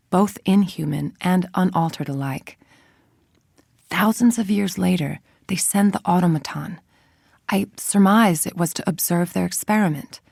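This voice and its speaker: A woman's voice: on the low side, creaky, a little mellow, husky, no echo, clean studio sound. An actress playing a cold, calculating villainous woman scientist in a video game, speaking in a clinical, articulate, controlled and formal way. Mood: clinical, brooding. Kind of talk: scripted game voice-over, audio log monologue.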